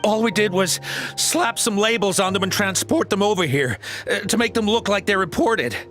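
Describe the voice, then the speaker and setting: A man's voice: high voice, moderate pace, fairly bright tone, soft background music. A man playing a cowardly, grovelling henchman in a video game, stammering nervously. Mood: nervous, placating. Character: cowardly male poacher henchman, panicky and grovelling.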